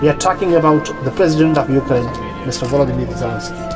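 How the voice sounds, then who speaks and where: A man giving a solemn, measured narration as a documentary intro. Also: mid-range voice. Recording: distant, echoey, under loud music.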